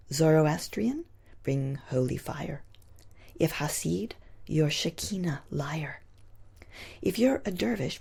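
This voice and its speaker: Female poetry reader: very breathy, husky tone, slow measured delivery of rhymed verse. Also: sits low, mellow. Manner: measured, deliberate phrasing in short lines. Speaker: poet.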